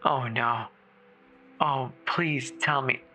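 A performer voicing a terrified woman caller alone in a snowbound house, halting and stammering with fear in a horror audio drama. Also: mid-range voice, steady tone, pushed and bright, faint music behind. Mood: frightened. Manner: halting, fearful, breathy stammering. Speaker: emergency caller.

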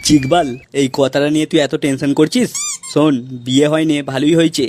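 Man as a quick-talking consoling cartoon friend. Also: a little high, fairly even intonation, heavy background noise, lightly acted. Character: blunt young male friend, calmly consoling. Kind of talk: comedy cartoon dubbing.

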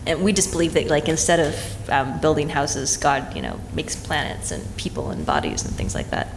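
A man's voice: fairly high pitch, big pitch swings, normal speed, creaky. A man on a conference panel, speaking in a thoughtful, meandering way, with many fillers. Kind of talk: panel discussion. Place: hotel conference room. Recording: long hall reverb, faint noise.

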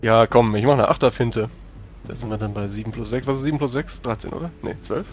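A man's voice: medium pitch, fast. A man chatting casually as a player in a tabletop roleplaying game, working through rules and dice. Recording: music playing behind the voice.

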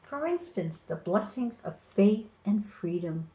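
A woman's voice: mid-range voice, lively intonation, unhurried, warm tone, lightly acted. A woman voicing a genial, food-loving father bear who explains things patiently in a children's storybook. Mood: gently instructive. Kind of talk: storybook read-aloud. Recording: echoey room, slightly muffled.

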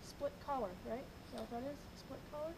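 A woman's voice: high voice, fast. A woman chatting and teasing casually while helping with a build outdoors in a wooded yard. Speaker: vlogger's wife. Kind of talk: casual chat. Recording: distant voice with loud outdoor noise.

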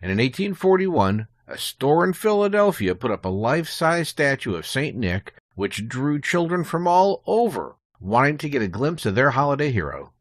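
American male guest, informative storyteller, animated intonation, dry studio-like sound. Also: mid-range voice, normal speed, few pauses, no echo. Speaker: podcast guest. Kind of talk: prepared informative storytelling, conversational.

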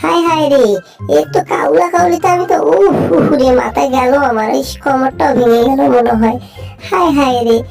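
Panicky, bossy cartoon animal played by a woman, very high voice, Bangladeshi accent, exclamatory and repetitive, music underneath. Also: moderate pace, gravelly, a little mellow. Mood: panicked. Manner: exclamatory, repetitive wailing and pleading. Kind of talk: amateur cartoon dub.

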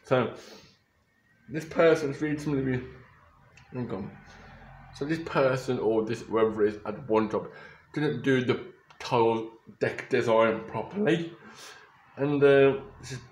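A man's voice: medium pitch, unhurried, long pauses, reverberant, a little hiss or hum, low-quality recording. A young man rambling casually through a reaction vlog, often restarting and correcting himself, recorded on a close webcam in a small bedroom. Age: young adult. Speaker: YouTuber reacting to photos. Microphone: webcam or phone camera at close range.

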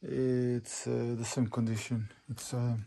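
A man with a Portuguese accent giving an informal property walkthrough as an estate agent, in halting, simple English with short phrases. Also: mid-range voice, flat delivery, creaky, dark tone. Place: outdoors on a rural farm. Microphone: handheld phone or camera held by speaker. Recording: outdoor handheld recording with faint background noise.

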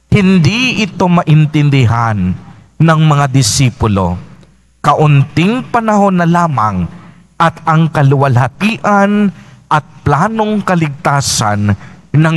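A man in his thirties, a priest preaching a homily in a church, speaking into a pulpit mic in slow, rhythmic refrains. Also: fairly high pitch. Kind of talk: homily at Mass. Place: church sanctuary, indoors. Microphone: mic on a stand at the pulpit.

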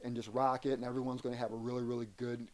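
A middle-aged or older man with an American accent answering interview questions earnestly, often repeating words haltingly. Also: medium pitch, flat delivery, darkish tone. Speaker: interview guest, aspiring rock singer. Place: wooden sunroom indoors.

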